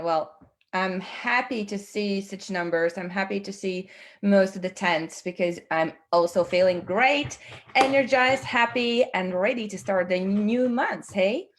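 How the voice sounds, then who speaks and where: A woman's voice: medium pitch, measured pace. A woman from Latvia hosting an online team webinar, upbeat and chatty, with many fillers and restarts, speaking over a video call. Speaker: webinar host. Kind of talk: online team meeting presentation.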